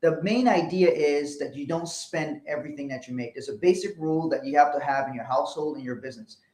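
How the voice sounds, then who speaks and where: A man with a mixed West African accent, explaining money rules in a relaxed co-host chat. His voice sits a little high for a man and repeats phrases as he goes. The room is echoey. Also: fast. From Liberia, West Africa. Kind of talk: casual financial advice chat.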